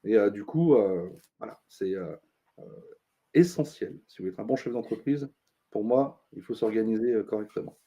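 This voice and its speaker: A middle-aged man, a consultant being interviewed, talking earnestly with many pauses and restarts. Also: on the low side, mellow, breathy edge, lo-fi sound. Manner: thoughtful, many fillers and restarts, earnest advice-giving. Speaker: interview guest, business consultant. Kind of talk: casual interview talk.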